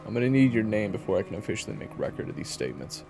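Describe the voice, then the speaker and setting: A man playing a gruff, wry monster hunter with a blunt, sarcastic manner in an audio drama. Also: on the low side, sing-song, rapid-fire. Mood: questioning. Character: gruff, wry, impatient male monster hunter named Raven. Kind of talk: audio roleplay character acting. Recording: echoey hall reverb, music behind.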